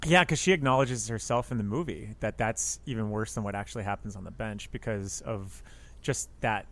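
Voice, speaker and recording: A man casually discussing a film in a hesitant, tentative way with frequent pauses. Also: lowish voice, fairly bright tone. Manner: hesitant, tentative, pausing often. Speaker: podcast panelist.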